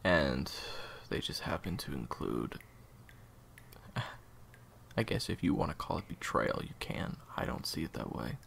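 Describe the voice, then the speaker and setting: An evasive younger brother turned traitor in an audio drama, acted by a man. His low voice is gravelly and swings widely in pitch, though his answers come terse and halting. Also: expressive, a bit bright, a little hiss or hum, full character voice. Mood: resigned. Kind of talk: audio roleplay drama acting.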